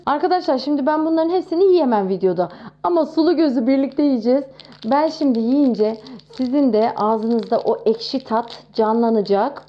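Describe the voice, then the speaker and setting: A woman in her thirties talking casually to camera in a chatty, expressive way, showing off nostalgic things. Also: sits high, lo-fi sound. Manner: chatty and expressive, with frequent exclamations. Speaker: vlogger.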